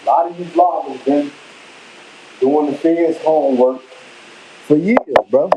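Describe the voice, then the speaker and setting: A man's voice: on the high side, a little slow, background noise. A man from Chicago with a Chicago accent, talking casually on a live vlog in a rambling, emphatic way. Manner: rambling, emphatic, slangy, repeats himself. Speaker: vlogger. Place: home kitchen.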